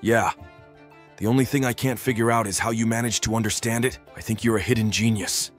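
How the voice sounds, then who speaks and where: A man voicing a polite but boastful, quietly exasperated male dog in a cartoon comic dub. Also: lowish voice, steady tone, fairly quick, faint music behind, natural voice. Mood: boastful, mocking. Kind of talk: comic dub character acting.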